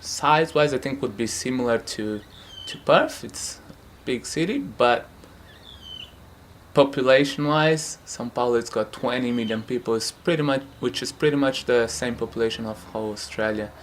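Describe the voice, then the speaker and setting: Brazilian man, relaxed hesitant interview answers. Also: mid-range voice, normal speed, a little hiss or hum. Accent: Brazilian Portuguese. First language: Portuguese. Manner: relaxed, hesitant, frequent fillers and light laughs. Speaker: futsal player. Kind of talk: casual interview. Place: home.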